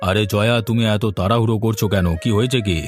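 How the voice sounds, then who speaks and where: A man plays a son-in-law with a low voice who rattles off his complaints quickly. He sounds resigned and sulky. Faint music runs behind him. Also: steady tone, close to an everyday voice. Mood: grumbling. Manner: grumbling, resigned.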